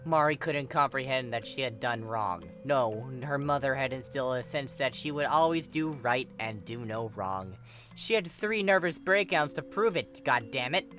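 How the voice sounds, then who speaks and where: A man reading a story aloud as narrator, with expressive scripted delivery. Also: mid-range voice, normal speed, faint music behind. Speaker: narrator, fan fiction reader.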